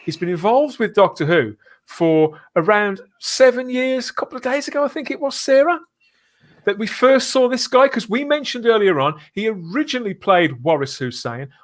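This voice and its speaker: A man hosting a live fan podcast, speaking in an earnest, emphatic way with deliberate phrasing. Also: high-pitched, expressive. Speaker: podcast host.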